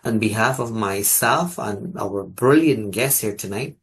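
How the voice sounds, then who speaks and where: A man from Ghana hosts an online talk show over a video call, his voice on the low side. He talks in formal, ceremonious phrases, padded with fillers as he wraps up. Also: a little slow, slightly rough.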